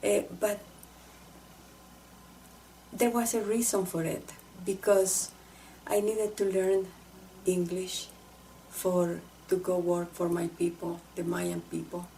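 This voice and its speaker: Slow, mellow woman. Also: fairly low pitch, flat delivery, vocal fry, a little hiss or hum, low-quality recording. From El Salvador. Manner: halting, reflective, earnest. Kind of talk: personal testimony to camera.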